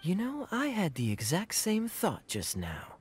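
A man voicing a suave, sly young cavalry captain in a video game, smooth, teasing and theatrical. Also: sits high, huge pitch swings, unhurried, soft background music, exaggerated voice.

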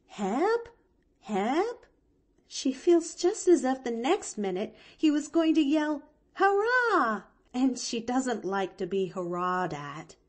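A cheerful little orphan girl from a storybook, acted by a woman, chattering with eager emphasis. Her voice sits high and swings animatedly up and down, earnest and keen in every phrase. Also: a little mellow, heavily acted. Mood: chatty, amused. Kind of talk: expressive audiobook character reading.

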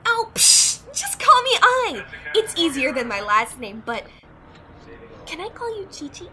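A woman's voice: very high voice, very animated, unhurried, bright, forceful tone, exaggerated voice. A woman playing a hyperactive, bubbly young graphic artist in an anime-style fan audio drama, gushing and giggly. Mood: cheerful, chatty. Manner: gushing, giggly, squealing exclamations.

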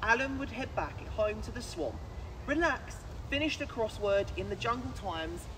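A man in his twenties reading a children's picture book aloud outdoors in an expressive, projected voice with dramatic pauses. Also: sits high. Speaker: children's story presenter. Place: outdoors in woods, standing. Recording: outdoor recording with clear background noise.

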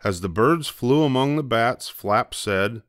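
A man's voice: mid-range voice, lively intonation, a little slow, fairly bright tone, no echo, studio quality. An older man narrating a scripted children's storybook.

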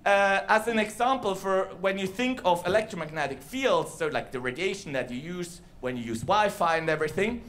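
Man, 30s, light high voice, casual science talk on stage, handheld mic. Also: expressive, a little fast, hardly any pauses, a bit bright. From Switzerland. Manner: casual, explanatory, with fillers. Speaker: science slam presenter. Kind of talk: live science talk to an audience. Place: large dark theater stage. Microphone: handheld microphone.

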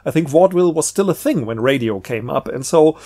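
A man giving a casual, unscripted vlog monologue as he presents a book, rambling and halting, often trailing off and rephrasing. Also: fairly high pitch, lively intonation, mellow.

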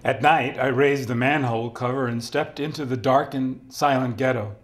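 A man's voice: medium pitch, fairly even intonation, moderate pace, natural voice. A man in his fifties giving a dramatic reading as a somber, haunted resistance survivor.

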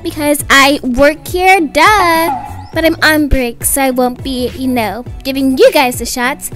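Young woman doing mom roleplay story, super high girlish voice, music playing behind. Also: measured pace, a bit bright. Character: brisk, slightly exasperated mother and nurse. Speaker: storyteller voicing a roleplay video. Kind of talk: animated scripted roleplay narration in everyday voice.

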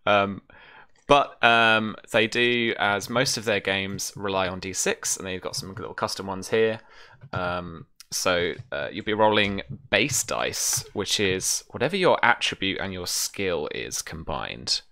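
A man's voice: deep voice, expressive, a little slow, pushed and bright, dry recording, studio quality. A British man in his thirties chatting informally as he explains a tabletop game on a livestream, easygoing and reassuring. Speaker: game master and streamer. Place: small room at home, on a video call.

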